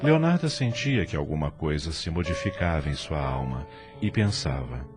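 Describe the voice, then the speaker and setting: A man's voice: a bass voice, big pitch swings, normal speed, music in the background. A man narrating an audio drama in a scripted, literary storytelling style.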